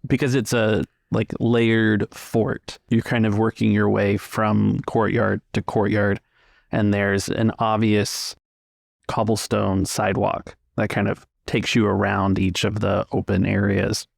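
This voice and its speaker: A man improvising scene descriptions as a game master, pausing to pick his words in a measured way. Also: on the low side, level tone, creaky, no echo, clean studio sound. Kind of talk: improvised tabletop game narration.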